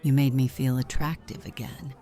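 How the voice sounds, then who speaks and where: A woman voicing a flirtatious, jealous, tender aunt in a visual novel game, speaking softly and intimately with a breathy delivery. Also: very deep voice, steady tone, moderate pace, faint music behind. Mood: tender, admiring.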